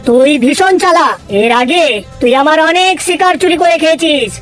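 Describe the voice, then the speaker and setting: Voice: very high-pitched, moderate pace. A performer voicing a grumpy, suspicious, lordly male lion in a children's cartoon, curt and scolding. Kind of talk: children's fairy-tale cartoon dubbing. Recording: music under the voice.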